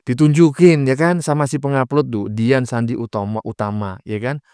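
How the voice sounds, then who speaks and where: A man's voice: mid-range voice, fairly even intonation, moderate pace, warm tone, smooth, dry recording, studio quality. A man giving informal political reaction commentary in a mocking manner, full of rhetorical questions. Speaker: host of a reaction channel.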